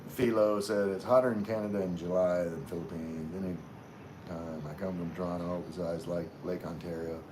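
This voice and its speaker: Man, from Louisiana, very low voice, rambling livestream chat, lots of fillers, faint hum behind. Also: normal speed. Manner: relaxed, rambling, many fillers and restarts. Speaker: livestream host reading chat. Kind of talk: casual live chat.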